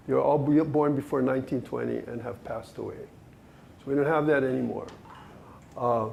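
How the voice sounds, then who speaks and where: A man from Hawaii talks through ideas at a workshop in a measured, thoughtful way, now and then with a soft laugh. His voice is gravelly, with a dark, mellow tone. A faint hiss of noise sits under the recording. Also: mid-range voice. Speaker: workshop presenter. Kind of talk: discussion at a language workshop.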